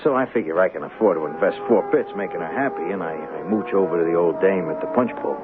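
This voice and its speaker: An actor playing a shifty small-time hustler in a radio drama, talking slangy, hesitant and streetwise. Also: male voice, low-pitched, fast, over loud music, natural voice. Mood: scheming. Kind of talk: radio drama acting with first-person narration. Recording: tinny 1970s radio broadcast, crowd behind.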